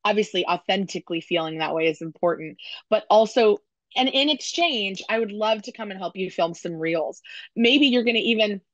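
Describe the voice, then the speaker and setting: A woman's voice: mid-range voice, a bit bright, no room sound. A woman around forty giving conversational panel advice in an emphatic, encouraging way over a video call. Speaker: panelist, small business owner. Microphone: video call microphone. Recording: dull, compressed video-call audio.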